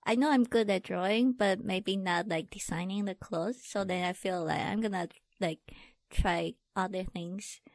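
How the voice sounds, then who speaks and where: Female guest, Taiwanese accent, casual halting delivery, medium pitch, dry sound. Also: no echo. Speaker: interview guest, tattoo artist. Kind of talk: casual chat.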